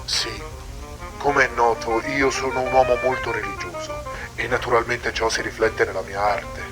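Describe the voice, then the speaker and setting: A man acts out a zealous, unsettling male sculptor in an analog horror interview. His mid-pitched voice is solemn and grandiose, brooding over each phrase, with clearly audible music behind it. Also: flat delivery, normal speed, close to an everyday voice. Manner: grandiose, brooding, dramatic, swelling and hushing. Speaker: talk show guest. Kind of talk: horror drama monologue in interview form.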